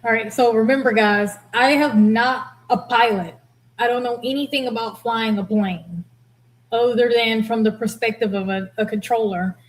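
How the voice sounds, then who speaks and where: Female reaction host, higher voice, blunt and expressive, casual commentary. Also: normal speed, low-quality recording. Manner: blunt, expressive, laughs readily. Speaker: host, former air traffic controller.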